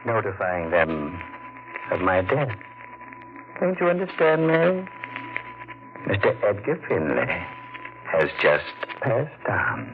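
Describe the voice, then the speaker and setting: A man's voice: low-pitched, wildly swooping pitch, takes it slowly, long pauses, background noise, full character voice. An actor playing an eccentric, domineering old rich man in a 1940s radio drama. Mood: scheming. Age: older. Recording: tinny old radio broadcast.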